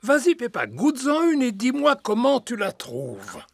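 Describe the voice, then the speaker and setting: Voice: medium pitch, wildly swooping pitch, unhurried, dry recording, full character voice. An actor voicing a proud, slightly grumpy older grandpa pig in a children's cartoon, explaining things self-importantly. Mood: proud, huffy.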